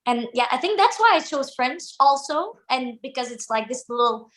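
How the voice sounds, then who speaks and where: High-voiced bubbly young woman from Flanders. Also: lively intonation, moderate pace, fairly bright tone. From Flanders, Belgium. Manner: bubbly, giggly, restarts often. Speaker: singer-songwriter guest. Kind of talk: casual interview answers.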